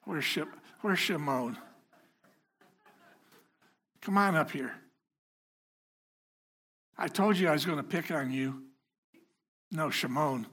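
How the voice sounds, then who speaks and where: A man with a slightly husky voice gives a relaxed sermon to his congregation. He keeps it conversational and self-deprecating, teasing the people in front of him as he goes. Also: a little high, slow pace, long pauses. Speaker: lay preacher. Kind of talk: informal sermon. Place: house of worship before a congregation.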